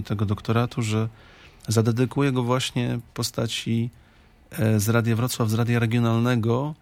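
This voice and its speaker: A man speaking as a radio interview guest in a studio, reflective and halting in delivery. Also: mid-range voice, a little mellow.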